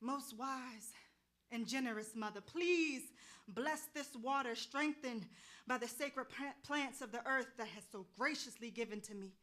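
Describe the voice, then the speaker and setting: A woman's voice: fairly high pitch, measured pace, a bit bright. A woman playing a commanding, devout folk healer with colloquial talk in a staged play reading. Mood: prayerful, fervent.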